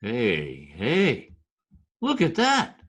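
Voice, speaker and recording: Man playing a husky, lively Broadway storyteller. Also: mid-range voice, very slow, full character voice. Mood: amazed. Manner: folksy present-tense slang, deadpan storytelling. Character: wisecracking male Broadway hustler narrating his tale. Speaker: narrator and supporting character. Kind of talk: 1940s radio drama narration in New York street slang. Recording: music behind, home video-call radio play.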